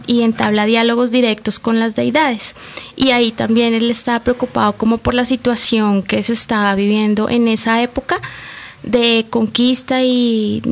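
A woman presenter reading a prepared informative segment in a slightly halting way. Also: a little high. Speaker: radio show presenter.

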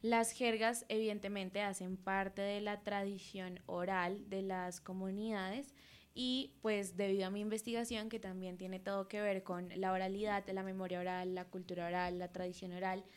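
A woman's voice: mid-range voice, fairly even intonation, moderate pace, clean tone. Woman radio co-host, reflective talk.

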